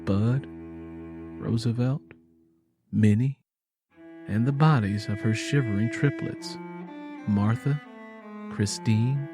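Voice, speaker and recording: A man's voice: medium pitch, slow, long pauses, music in the background. A man reading scripted story narration in a solemn, dramatic manner.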